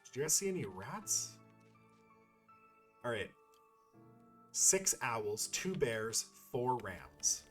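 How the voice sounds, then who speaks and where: A man's voice: mid-range voice, sing-song, slow, long pauses, fairly bright tone. Casual, rambling male gamer over game music. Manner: rambling, playful, profane, thinking aloud. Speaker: gaming YouTuber playing and commenting. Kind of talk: casual let's-play commentary.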